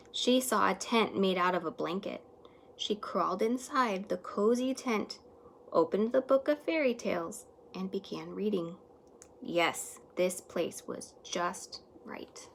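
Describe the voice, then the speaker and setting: A woman teacher reading a children's story aloud expressively, stretching words and hushing some for emphasis. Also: mid-range voice, measured pace, creaky, slight background noise.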